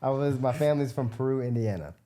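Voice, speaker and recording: A man's voice: medium pitch, quick pace, dark tone. An American man answering interview questions in a casual, rambling, informal way as a guest. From United States, Midwest. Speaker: interview guest, biomedical researcher and entrepreneur.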